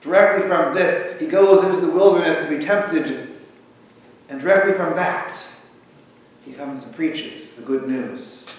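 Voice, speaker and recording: A man in his thirties preaching a sermon from a church pulpit, talking in a conversational, animated way. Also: a little high, measured pace. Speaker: pastor. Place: large church sanctuary with pipe organ. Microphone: far camera across the sanctuary. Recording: echoey sanctuary from far off, faint hiss.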